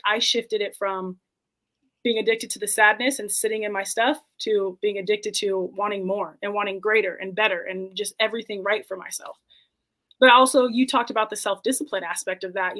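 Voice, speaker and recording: A woman's voice: mid-range voice, fairly bright tone, poor audio. A woman in her twenties, a podcast guest, talking candidly and reflectively in casual conversation. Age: 20s. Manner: candid and reflective, quotes her own past thoughts.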